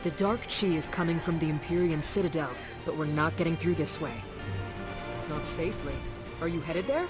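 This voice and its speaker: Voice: medium pitch, normal speed, close to an everyday voice. An actor playing a friendly, watchful scout fighter in a video game, with a casual, wry manner. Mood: wry, concerned. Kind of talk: video game character acting. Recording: game music behind.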